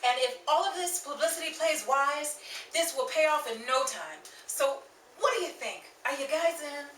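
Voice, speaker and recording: A woman playing an upbeat, ambitious performer in an old-time radio style comedy, theatrical and quick with comebacks. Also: sits high, lively intonation, a bit bright. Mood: lively. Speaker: lead actor and show announcer. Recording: echoey live room, distant mic, audience noise.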